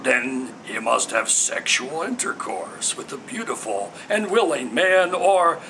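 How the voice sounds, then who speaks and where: A man's voice: medium pitch, lively intonation, takes it slowly, noisy background. A man giving a dramatic, incantatory poetry reading in a declamatory, emphatic, theatrical manner. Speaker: poet reading own work.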